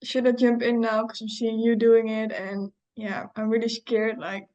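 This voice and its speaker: A woman's voice: a little high, flat delivery, moderate pace, dark tone, lo-fi sound. A young woman from the Netherlands with a Dutch accent, chatting casually as a video host. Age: young adult. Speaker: YouTube host and trader.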